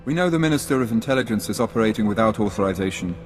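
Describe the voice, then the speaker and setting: A man's voice: on the low side, animated, rapid-fire, close to an everyday voice. Man as a stately envoy in a hall. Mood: imperious. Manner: stately, officious. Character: formal, imperious male envoy of a dark council. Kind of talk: scripted sci-fi game acting. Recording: long hall reverb, faint music.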